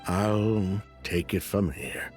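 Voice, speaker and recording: An actor playing an evasive, self-justifying criminal in dramatic video game dialogue, with wry, sighing delivery. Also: male voice, fairly low pitch, level tone, slow pace, darkish tone, faint music behind. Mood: defensive. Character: evasive, defensive criminal man confessing past wrongdoing.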